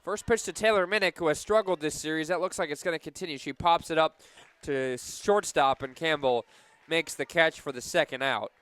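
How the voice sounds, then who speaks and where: A man calling live softball play-by-play for radio, measured between pitches and animated on big plays. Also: clear, resonant tone.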